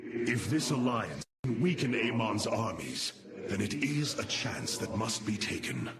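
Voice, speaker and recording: A man playing a noble alien warrior leader in a video game, solemn and resolute, with measured, stately, formal delivery. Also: fairly low pitch, animated. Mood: solemn, reflective. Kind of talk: dramatic video game voice acting. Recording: loud music behind the voice.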